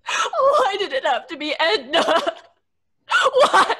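Very high, plaintive, distraught young woman. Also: wildly swooping pitch, slow pace, sharp tone, exaggerated voice. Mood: sobbing grief. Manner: melodramatic, plaintive, imploring. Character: distraught grieving young woman, fragile, frightened, protective. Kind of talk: old-time radio horror acting. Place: home recording, live remote video call.

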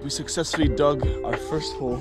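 A man's voice: fairly high pitch, steady tone, brisk. A young man vlogging to a phone held at arm's length, chatty and upbeat with loose filler phrasing. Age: young adult. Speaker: travel vlogger. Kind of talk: casual vlog talk to camera. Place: outdoors in snow and in a hotel room. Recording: loud background music.

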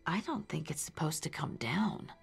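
An actress playing a wry, secretive small-town psychic in a video game, with a hushed, confiding manner. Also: female voice, sits low, normal speed, vocal fry, slightly rough, faint music behind, heavily acted. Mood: uneasy, confiding.

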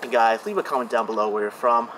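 A young man vlogging casually as he walks through an abandoned mansion, chatty and excitable. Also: mid-range voice, fast talker, slight background noise. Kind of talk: casual walkthrough vlog. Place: indoors in an abandoned house.

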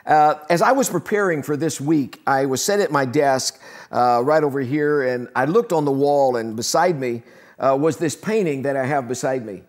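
A man's voice: medium pitch, moderate pace. An older man preaching a sermon in a conversational, earnest way, with frequent "uh" fillers, from a living-room church set. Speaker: pastor.

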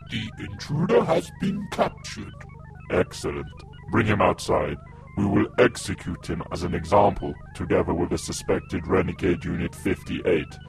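A man voicing a cold, commanding male Cyberman leader with a robotic filter, speaking in flat, menacing orders. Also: deep voice, moderate pace, soft background music. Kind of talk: fan audio drama.

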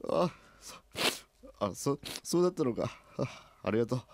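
Chuckling company boss, lively swooping voice. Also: male voice, medium pitch, normal speed, creaky, slight background noise. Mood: touched, chuckling. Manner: chuckling, stammering, self-deprecating. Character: good-natured company president fond of lame puns. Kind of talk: radio drama acting.

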